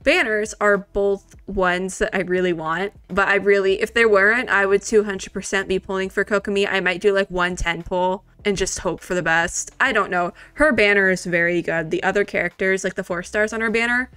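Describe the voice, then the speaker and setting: A woman giving casual, gushing reaction commentary, rambling excitedly and peppering it with "like". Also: mid-range voice, normal speed, few pauses, clear, resonant tone, no echo. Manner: excitable, rambling, heavy use of "like". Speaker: video creator reacting to a game trailer.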